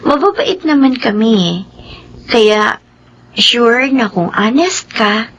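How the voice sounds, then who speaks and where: A woman acting a gentle, courteous young Filipino daughter of a wealthy household in a radio drama. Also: a little high, very animated, slow, breathy edge, exaggerated voice, rough recording.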